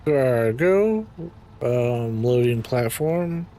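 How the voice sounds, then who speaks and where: A man with a raspy voice, casually commenting to himself as he plays a game, clearing his throat now and then. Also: medium pitch, lively intonation, drawn-out words, dark tone. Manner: muttering to himself while playing, frequent ums and throat-clearing. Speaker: game streamer.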